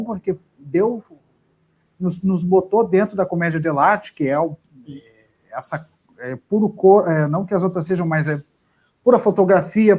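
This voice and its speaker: A man, an actor, chatting casually in a live interview about his career, often restarting his sentences. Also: high-pitched. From southern Brazil. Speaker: actor guest.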